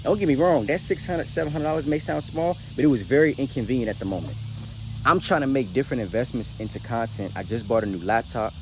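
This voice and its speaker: A man's voice: medium pitch, quick pace. An American man in his late twenties giving a casual motivational talk straight to the audience, emphatic and slangy, repeating words and phrases for weight. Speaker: motivational vlogger. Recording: noisy recording with clear background noise.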